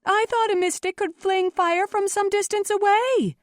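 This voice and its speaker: A woman voicing frenzied, accusing townsfolk in an audiobook, shouting shrilly. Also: very high voice, measured pace, no room sound, studio quality, pitched above the performer's own voice. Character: frenzied angry townsfolk mob baying for blood. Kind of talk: audiobook character voice.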